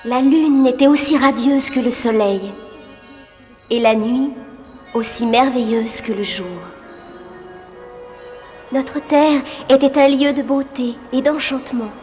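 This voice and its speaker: High, slow-speaking moon-spirit princess. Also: female voice, a little mellow, faint music behind. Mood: dreamy. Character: gentle, sorrowful young moon-spirit princess, captive, dreamy, fearful. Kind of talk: fairy-tale cartoon acting.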